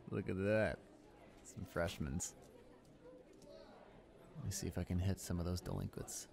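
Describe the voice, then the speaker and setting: Audio roleplay bully: male actor as a young male student, lowish voice, arrogant, irritable and dismissive. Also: slow pace, gravelly, crowd noise, heavily acted. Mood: mocking. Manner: smug, dismissive, muttering to himself.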